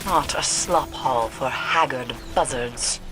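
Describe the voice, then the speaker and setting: A woman's voice: a bass voice, takes it slowly, rough recording. An actress playing a grave, ominous mystic woman in a video game, speaking in a formal, cryptic, solemn manner. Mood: solemn, scornful. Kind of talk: dramatic video game acting. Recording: music behind the voice.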